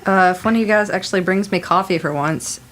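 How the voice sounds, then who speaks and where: A woman's voice: on the low side, fast talker, noisy background, close to an everyday voice. A woman playing a wry, capable young studio intern in an audio drama. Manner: wry, casual. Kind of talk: naturalistic audio drama acting.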